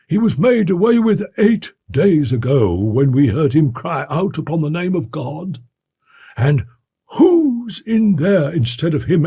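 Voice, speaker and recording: A man's voice: mid-range voice, dark tone, slightly rough, voice pushed up, full character voice. A man voicing a frightened, loyal butler in a storybook reading, urgent and deferential, with a harsh, strained voice. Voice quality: harsh, broken voice. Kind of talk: dramatic audiobook character reading.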